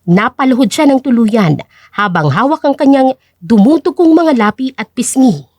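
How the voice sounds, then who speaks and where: High-pitched woman narrating dramatically. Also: expressive, normal speed, darkish tone, dry recording, clean studio sound. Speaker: story narrator.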